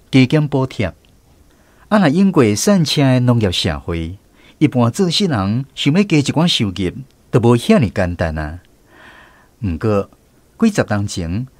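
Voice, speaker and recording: Lively Taiwanese male radio storyteller. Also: mid-range voice, no room sound. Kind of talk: scripted storytelling narration for radio.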